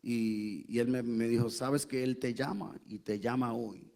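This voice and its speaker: A man, a pastor, tells his faith testimony in a church interview in an earnest, halting way, pausing on many fillers and also interpreting his wife's words. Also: mid-range voice, fairly even intonation, vocal fry, darkish tone. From Puerto Rico. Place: church sanctuary.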